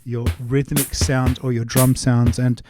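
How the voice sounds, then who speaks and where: A man's voice: mid-range voice, flat delivery, a little slow. A man giving a casual, step-by-step music production tutorial in an informal way. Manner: informal, explains step by step while demonstrating. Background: music loops and vocal samples.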